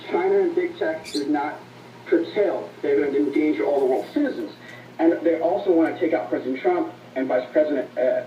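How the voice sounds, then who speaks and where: A high-pitched man gives earnest, slightly halting interview answers. He sounds roomy and distant, as if played back over a dull radio. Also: mellow, slight background noise. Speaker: interview guest, author.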